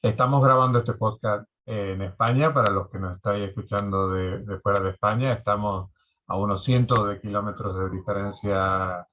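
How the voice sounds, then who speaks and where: A man hosting a conversational interview podcast over an online call, speaking courteously with deliberate pauses. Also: lowish voice, steady tone, lo-fi sound, a little hiss or hum. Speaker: podcast host. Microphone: remote online call.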